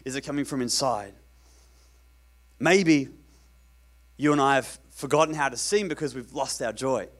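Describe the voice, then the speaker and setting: A man preaching a sermon as pastor, repeating phrases, cracking asides and asking the congregation for an amen. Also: mid-range voice. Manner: repetitive, rhetorical, calls for amen; jokey asides.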